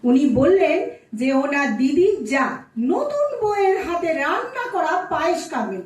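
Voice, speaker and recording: Very high-pitched petulant young wife, acted by a woman. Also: very animated, unhurried, full character voice. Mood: indignant, complaining. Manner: huffy, dramatic, complaining. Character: petulant young married daughter, indignant at in-laws. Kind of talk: Bengali audio-play acting on stage. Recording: echoey room, distant mic.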